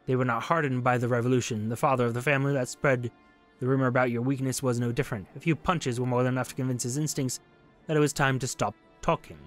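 A man reading aloud a grim informant's report to a judge in a story game, measured and matter-of-fact. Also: mid-range voice, steady tone, soft background music, close to an everyday voice. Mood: cold, matter-of-fact.

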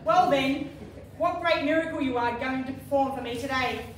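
A woman's voice: sits high, normal speed, lightly acted. A woman playing a fed-up, sarcastic nurse Dorothy in an amateur stage pantomime, with a blunt delivery. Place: care home common room, indoors, before an audience. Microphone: far camera. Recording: echoey hall, distant camera microphone, faint noise.

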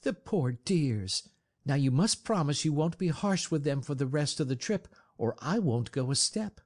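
Man putting on a high, dainty, fretful young lady. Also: animated, normal speed, a little mellow. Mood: pleading. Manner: exclaiming, pleading, melodramatic. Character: dainty, fretful, sentimental pampered young woman.

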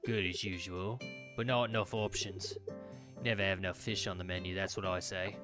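A man's voice: low-pitched, steady tone, normal speed, music in the background, pitched below the performer's own voice, natural voice. A man voicing a grumbling, opinionated old fisherman father from a game's dialogue. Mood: gruffly friendly. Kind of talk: reading game dialogue aloud in character.

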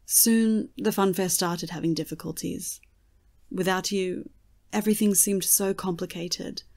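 A solemn female fortune-teller voiced by an actress in an audio drama. Her voice sits a little low, and she tells things earnestly and dramatically. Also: steady tone, a little slow, a little mellow. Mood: sorrowful, reproachful.

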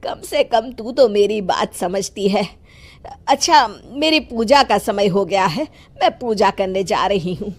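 A woman's voice: mid-range voice, animated, measured pace. A woman voicing a proud, bossy old grandmother in a cartoon, scolding and dismissive.

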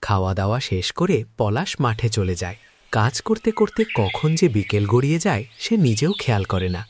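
A man's voice: on the low side, very animated, brisk. A man narrating a cartoon folk tale. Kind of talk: scripted storybook narration.

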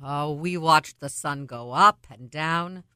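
An older woman playing a devoted, slow-spoken old grandmother in a radio play. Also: low voice, sharp tone, clear, resonant tone, dry recording, studio quality, full character voice. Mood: gentle. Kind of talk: absurdist radio play acting.

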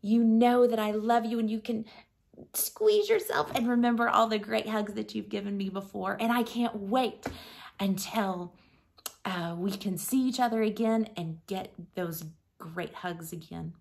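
A woman in her thirties reading a picture book to children like a schoolteacher, gently and expressively, softening to a breathy hush at line ends. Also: mid-range voice, steady tone, unhurried. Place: small living room at home.

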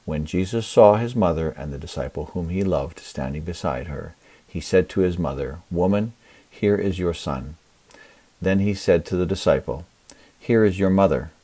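A man's voice: sits low, moderate pace, mellow, slightly rough, dry recording, distant mic. A man giving a solemn reading of scripture at a church service.

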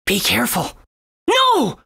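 Husky, lively young boy hero. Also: fairly high pitch, slow, exaggerated voice. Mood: alarmed. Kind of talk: video game voice acting.

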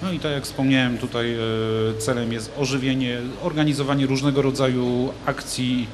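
A man's voice: mid-range voice, little pitch movement, normal speed, noisy background. A man in his thirties, a studio guest, speaks casually with many fillers and restarts about a local event, holding a handmade microphone. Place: large TV studio with a glass roof. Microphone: handheld mic.

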